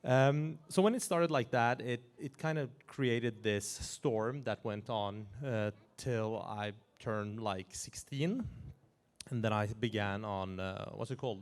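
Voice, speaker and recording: A man in his forties from Iran who grew up in Norway, talking as a guest in an interview in an animated way, chuckling and restarting his sentences. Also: lowish voice, normal speed. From Iran, raised in Norway. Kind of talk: casual interview talk.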